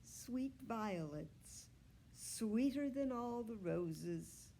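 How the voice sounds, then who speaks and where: A cheerful storybook father , acted out by a woman reader, hearty and unhurried. He stretches his words in a sing-song way. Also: on the high side, lively intonation, dark tone, slight background noise, heavily acted. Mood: merry singing. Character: cheerful father of girls, singing and calling out.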